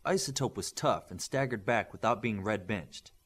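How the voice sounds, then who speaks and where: A man's voice: on the low side, normal speed, husky. A man reading a scripted adventure story aloud as an audiobook narrator.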